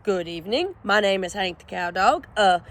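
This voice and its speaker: A woman's voice: mid-range voice, expressive, normal speed, close to an everyday voice. A woman voicing a boastful male ranch dog who talks in a pompous, rambling way. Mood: blustering. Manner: pompous, rambling, full of bluster.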